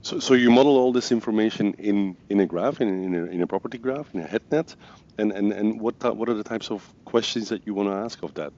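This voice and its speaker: A Belgian man with a Dutch accent hosts a casual podcast interview. His voice sits very low, and he stumbles and repeats words while shaping questions. The recording is dull and lo-fi. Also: fairly quick, warm tone. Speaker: podcast host.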